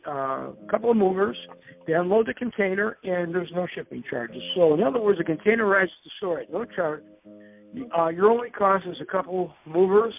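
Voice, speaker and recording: Over a narrow, tinny telephone conference line comes a man with a slightly high-pitched voice. He leads an informal business presentation, moving through it haltingly and hesitantly, often doubling back to restate things. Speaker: company presenter leading a dealer conference call.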